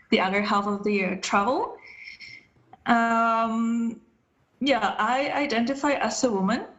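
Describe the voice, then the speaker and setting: A woman in her thirties from Poland, a podcast guest answering questions about herself with many pauses and restarts. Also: medium pitch, a bit bright, muffled recording. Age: 30s. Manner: hesitant, many ums and restarts. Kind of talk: casual interview answers.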